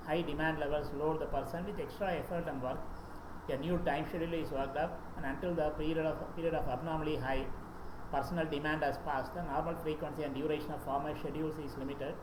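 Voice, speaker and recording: A man with an Indian English accent, a native Tamil speaker, gives a lecture with a slightly higher pitch than most men. He works through lists of points, pausing on fillers and restarting words. The recording is roomy and echoey, with a steady background noise. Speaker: presenter. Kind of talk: lecture presentation.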